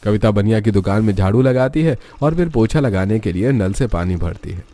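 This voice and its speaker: A man's voice: fairly low pitch, expressive, fairly quick, warm tone. A man narrating a scripted story as its narrator.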